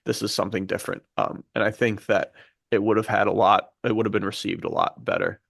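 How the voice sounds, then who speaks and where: A man's voice: lowish voice, huge pitch swings, fast talker, gravelly, a bit bright, no room sound, clean studio sound. A man hosting a podcast, talking about films in a casual, enthusiastic, slangy way. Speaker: podcast host. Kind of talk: casual film discussion.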